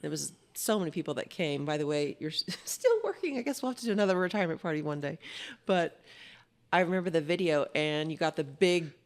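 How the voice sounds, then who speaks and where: A woman's voice: sits low, expressive, quick pace. Woman co-host chatting casually. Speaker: podcast co-host. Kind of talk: casual conversation.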